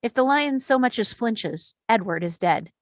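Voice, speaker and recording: A woman's voice: on the high side, expressive, normal speed, dry recording, clean studio sound. Woman reading screenplay directions. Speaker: reader of stage directions. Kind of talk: reading screenplay action lines.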